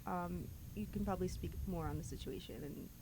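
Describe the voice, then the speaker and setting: Student interviewer, woman, low and gravelly, relaxed probing questions. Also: a little fast, slight background noise, poor audio. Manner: gently probing, conversational questions.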